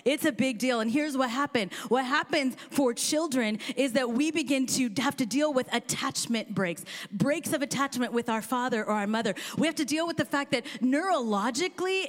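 A woman pastor, born in India and raised in Kansas, with a Midwestern American accent, preaching a sermon with impassioned swells that rise almost to shouting. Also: high-pitched. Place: church auditorium with live congregation.

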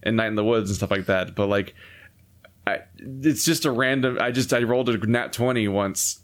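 Easygoing male co-host, mid-pitched, rambling chat with frequent laughs. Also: normal speed. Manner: laid-back, rambling, laughs often. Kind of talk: casual podcast chat.

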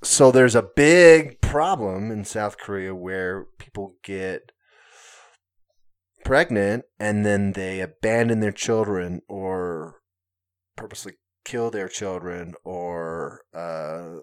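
A man's voice: sits low, takes it slowly, smooth. A man talking casually on a podcast, hesitant, full of fillers and restarts, with self-mocking humor. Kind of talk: casual conversation.

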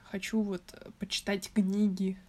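A woman's voice: medium pitch, measured pace. Chatty young woman on a podcast. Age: young adult. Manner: chatty, relaxed, laughs easily. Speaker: podcast guest. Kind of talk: casual chat.

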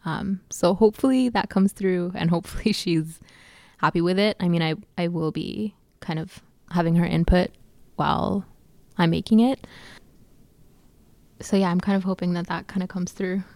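A young woman from Canada, a filmmaker answering interview questions in a soft, hesitant way with many fillers. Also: fairly low pitch, steady tone, moderate pace, no echo.

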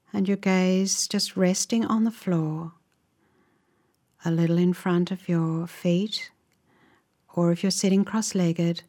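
Female meditation guide, lowish voice, very unhurried and calm, softening into breath at phrase ends. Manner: calm, measured, instructive.

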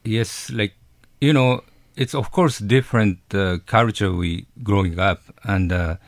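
A man with a Japanese accent, a chef speaking as a radio interview guest in a halting way that searches for words. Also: lowish voice, no echo, studio quality. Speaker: chef and restaurant owner.

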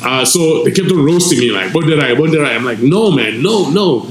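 A man from Zimbabwe whose first language is Shona, a DJ telling his story in a casual, animated way with laughs and shout-outs, in a restaurant lounge. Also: on the high side, a little fast, roomy sound, a crowd in the background, poor audio. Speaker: DJ guest.

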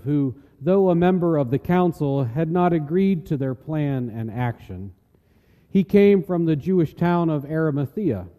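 A man reads scripture as a solemn narrator. His voice is very dark and mellow, ringing with the long reverb of a large hall. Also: a little high. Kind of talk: solemn dramatic scripture reading.

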